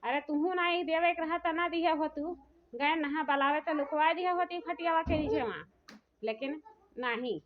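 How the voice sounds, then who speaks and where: A woman's voice: sits high, moderate pace, close to an everyday voice. A woman with a rural eastern Uttar Pradesh accent voicing an aggrieved, plaintive daughter-in-law in a comedy cartoon, rambling and repeating her complaints. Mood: bitter complaint. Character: aggrieved elder daughter-in-law, weary of taunts, threatening to leave. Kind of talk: dialect comedy cartoon dialogue. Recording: dull lo-fi cartoon audio.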